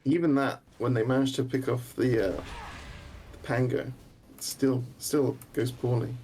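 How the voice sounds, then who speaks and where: A man casting a game, calling plays quickly with dry quips between them. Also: medium pitch, steady tone, darkish tone, a little hiss or hum. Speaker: esports caster. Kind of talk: play-by-play game commentary.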